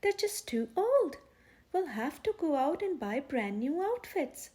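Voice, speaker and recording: A woman's voice: high-pitched, big pitch swings, measured pace, a little mellow, voice pushed up. Woman as a kindly, lilting mother bear. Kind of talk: children's storybook character voice.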